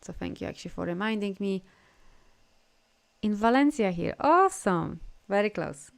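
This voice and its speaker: A woman's voice: mid-range voice, animated, normal speed, vocal fry. A woman from Poland, hosting a casual spiritual live stream as an energy healer, gushing with praise for her viewers. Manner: effusive, gushing praise and frequent laughter.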